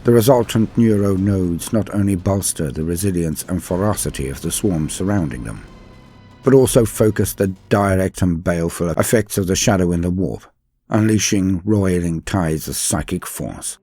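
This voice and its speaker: A man's voice: low voice, lively intonation, normal speed, mellow, faint music behind. A man narrating scripted lore as a host, in a theatrical, ornate and formal manner. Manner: dramatic, ornate, formal address. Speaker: host and narrator of a guide channel.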